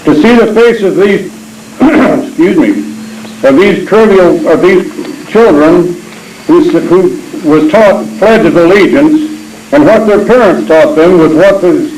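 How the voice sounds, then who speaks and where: An older man, hoarse from a cold, reads a speech to a veterans' gathering in a folksy, earnest tone. He sits a little high for a man, and the hall around him rings with a roomy echo under a faint hiss. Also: a little mellow. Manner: folksy, earnest, reads haltingly with throat clearing. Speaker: veterans' post speaker. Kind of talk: reading a prepared patriotic speech. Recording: echoey hall amateur recording.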